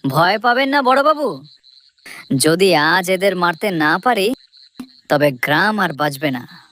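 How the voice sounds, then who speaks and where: A woman as an excitable young village hero, animated pitch. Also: slow pace. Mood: determined. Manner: urgent, emphatic, dramatic. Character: excitable brave young village man with a gun. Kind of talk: cartoon story dialogue.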